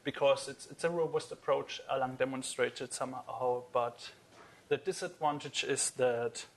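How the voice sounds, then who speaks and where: A young man presents his work at a conference in a lecture hall. He explains earnestly, pausing on fillers and restarting sentences, in a middle-pitched voice. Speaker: conference speaker. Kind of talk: technical conference talk.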